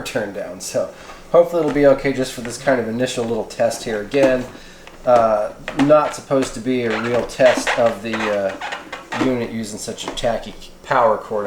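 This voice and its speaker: The voice is a man's, medium in pitch, recorded in an echoey room with faint noise behind it. He hosts a casual product demo without a script. His talk is halting and rambles along in a folksy way. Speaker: video host reviewing radio gear. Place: indoors in a home kitchen.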